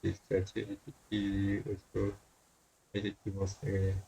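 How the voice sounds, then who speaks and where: Flat, low-voiced man reading a game aloud. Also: vocal fry, slightly rough, low-quality recording. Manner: halting, repeats phrases, puzzles aloud. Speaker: streamer reading a visual novel. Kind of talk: reading game text aloud with casual commentary. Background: game music faintly behind.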